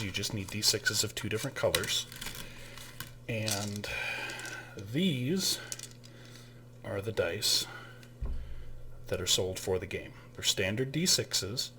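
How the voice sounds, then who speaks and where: A man's voice: fairly low pitch, a little hiss or hum. A man who ran the game, casually explaining a tabletop game's rules and holding up items as he talks, with some restarts along the way. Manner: relaxed, with fillers and restarts, showing items to camera.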